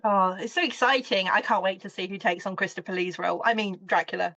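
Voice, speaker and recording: A woman hosting a casual interview, excitable and quick to laugh. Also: mid-range voice, no room sound. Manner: bubbly, excitable, laughs easily. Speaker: interview host. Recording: dry, dull lo-fi audio.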